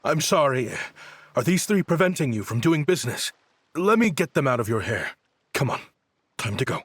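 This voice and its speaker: An actor playing a polite, protective guardian of children in a video game, measured, restrained and guarded. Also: male voice, mid-range voice, sing-song, breathy edge, dry recording. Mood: apologetic, firm.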